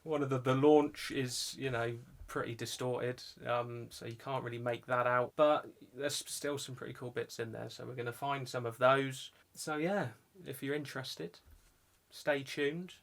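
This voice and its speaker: Young man, mid-pitched voice, informal, chatty, casual vlog presenting. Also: steady tone, a little slow. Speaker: video channel host. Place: indoor music studio.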